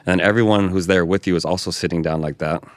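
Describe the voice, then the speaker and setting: A young man from Hawaii, a podcast guest calmly telling a personal story in conversation. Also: low voice, fast, no echo, clean studio sound.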